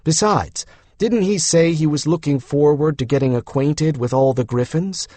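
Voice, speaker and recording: A man's voice: fairly high pitch, normal speed, darkish tone, no room sound, close to an everyday voice. A man playing an easygoing, frank young man in an audiobook, talking in a casual, encouraging manner. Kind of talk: audiobook character voice.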